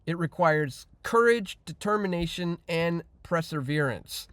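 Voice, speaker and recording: A man's voice: on the high side, expressive, measured pace, fairly bright tone, smooth, dry recording. A man hosting an online news show, giving opinionated commentary in a conversational, emphatic way that repeats phrases.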